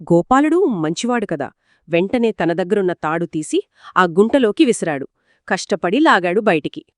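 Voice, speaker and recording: Lively woman telling a folktale. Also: mid-range voice, normal speed, no room sound, clean studio sound. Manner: lively, expressive storytelling. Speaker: storyteller. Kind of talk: scripted two-voice folktale narration.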